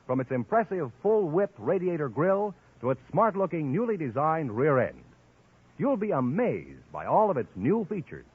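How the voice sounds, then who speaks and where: A man reads as a formal mid-century radio announcer with lively, swooping intonation. His voice is fairly high and a bit rough, heard through a narrow, tinny old broadcast. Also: moderate pace, dry recording. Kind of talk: scripted vintage radio advertising and announcing.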